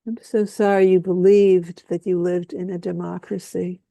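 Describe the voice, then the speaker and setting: A woman with a low, gravelly voice speaks a slow, solemn lament. She leans on repeated phrases and leaves long pauses between lines. The sound is mellow and completely dry. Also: little pitch movement, no echo. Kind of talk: slow spoken-word lament.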